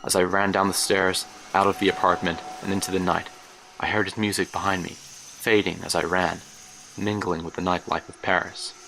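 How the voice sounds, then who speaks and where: A man's voice: low-pitched, level tone, vocal fry, bright, forceful tone, exaggerated voice. An actor playing a haunted older man, recalling his youth in a grave, literary horror monologue for an audio drama. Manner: grave, literary, measured storytelling. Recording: noisy track with ambience behind.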